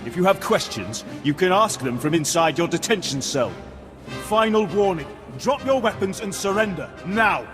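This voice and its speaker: A man's voice: high-pitched, lively intonation, moderate pace. An actor playing a haughty, hot-tempered male Imperial officer in a video game, pompous and imperious. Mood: alarmed, commanding. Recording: reverberant hall with loud game music.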